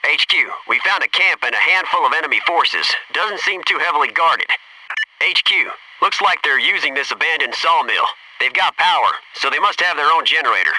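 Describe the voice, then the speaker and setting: A sharp, forceful Navy SEAL soldier. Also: male voice, a little high, expressive, moderate pace, recorded from a distance, a little hiss or hum, rough recording. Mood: businesslike reporting. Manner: clipped, tactical, matter-of-fact. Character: focused, businesslike male Navy SEAL soldier reporting in. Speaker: video game voice actor. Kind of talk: military game voice lines, terse radio callouts.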